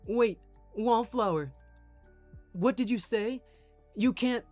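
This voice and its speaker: A man's voice: high-pitched, slow pace, darkish tone, soft background music, voice pushed up. A man voicing a foul-mouthed, possessive young man in a dramatic audio roleplay, aggressive and commanding. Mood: alarmed, threatening.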